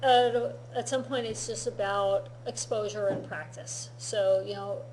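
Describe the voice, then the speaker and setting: Slow, patient woman teaching Japanese. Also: mid-range voice, steady tone, a little mellow, a little hiss or hum. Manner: unhurried, explains patiently, laughs often. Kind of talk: relaxed classroom-style lesson. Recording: echoey room.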